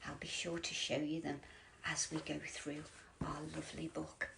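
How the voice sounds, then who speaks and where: A woman reading a children's picture book as a bedtime storyteller, theatrical and drawn out, often dropping into breathy whispers. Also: low voice, monotone, normal speed, background noise.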